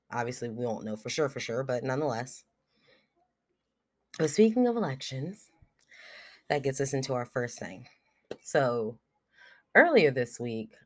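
A young podcast host talks alone into the mic, blunt and unfiltered, with loose fillers and the odd swear word. A cold and a cough drop in the mouth thicken the voice a little. The pitch sits in a middle range. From United States. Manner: frank and blunt, swears freely, many fillers like "like" and "um". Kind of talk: casual solo podcast monologue.

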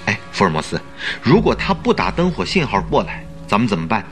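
Animated, earnest detective's companion. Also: male voice, fairly low pitch, fairly quick, music in the background. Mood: concerned, questioning. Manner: earnest, questioning. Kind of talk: radio drama acting.

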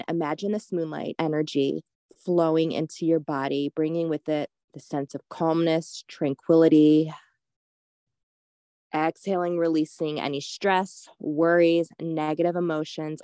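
Woman, meditation guide, very slow pace, soft mellow tone, soothing gentle instruction. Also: low voice, steady tone, no room sound.

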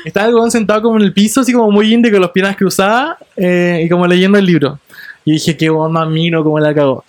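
A young man with a Chilean accent, chatting casually and telling stories in an animated, slangy way full of swearing. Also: sits high, quick pace, no echo, studio quality. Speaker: podcast co-host. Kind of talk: casual chat.

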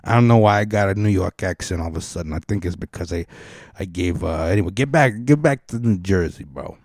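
A man's voice: sits low, fairly quick, a little mellow, no room sound, clean studio sound. A young adult man hosting a podcast, rambling and joking with casual profanity. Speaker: podcast host. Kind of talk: casual comic podcast monologue.

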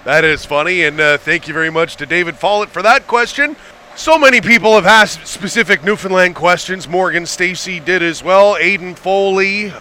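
A man hosting an interview, reading listener questions aloud and chiming in with quick, upbeat interjections. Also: high voice, bright, forceful tone, a little hiss or hum. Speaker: interview host.

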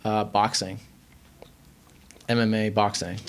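A man's voice: lowish voice, little pitch movement, slow pace, slight background noise. A young man from Iran chatting casually in a rambling way, with many fillers. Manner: rambling, heavy use of filler words. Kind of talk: casual chat.

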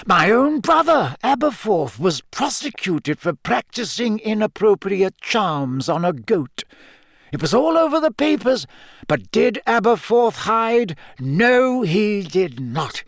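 A man voicing a wise old wizard headmaster in a storybook, measured and formal, with dry whimsy and calm authority. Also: sits high, no echo, pitched above the performer's own voice, full character voice. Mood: wry.

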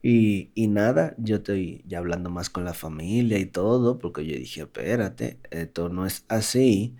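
Dominican guy cohosting a podcast w his wife, jokey, laughs a lot, mellow. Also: low voice, expressive, unhurried. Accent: Dominican Spanish, softened. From Dominican Republic. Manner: joking, laughs often, restarts words. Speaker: podcast co-host. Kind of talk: casual couple chat.

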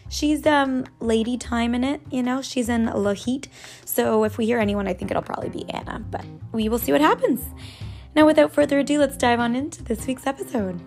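A woman hosting a casual solo podcast, her voice raspy and hoarse from just waking up, rambling along with frequent laughs and casual swearing. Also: a little high, fairly quick, a little mellow, soft background music. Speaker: podcast host.

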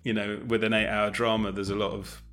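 A man's voice: fairly low pitch, level tone, brisk, fairly bright tone, soft background music. A man chatting casually as an interview guest, speaking in a hesitant, self-effacing way with trailing phrases. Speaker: guest, audio drama director and producer.

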